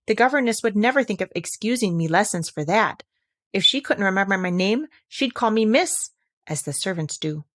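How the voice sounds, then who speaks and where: Lady reading as a shy puzzled little storybook girl, polite, kinda hesitant, thinking out loud. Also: medium pitch, lively intonation, normal speed, lightly acted. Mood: anxious, polite. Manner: hesitant, musing aloud to herself. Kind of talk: children's storybook character reading.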